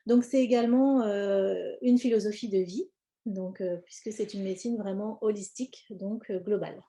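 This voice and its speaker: A woman from France gives an informal explanatory live presentation, speaking hesitantly and often pausing mid-phrase. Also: mid-range voice, dark tone. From born in India, grew up in France. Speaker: presenter, cosmetics brand founder.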